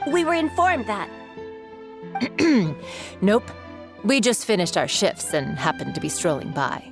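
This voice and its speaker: An actress playing an awkward, dutiful guard acting tough in a video game. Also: female voice, medium pitch, sing-song, measured pace, vocal fry, music in the background. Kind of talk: scripted game dialogue.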